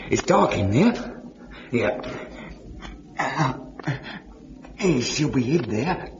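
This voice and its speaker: Desperate young suitor, muffled recording. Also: male voice, mid-range voice, noisy background. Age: young adult. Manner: hesitant, stammering, pleading. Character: earnest young suitor turning desperate and menacing. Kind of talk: old-time radio drama acting. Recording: muffled old radio drama recording.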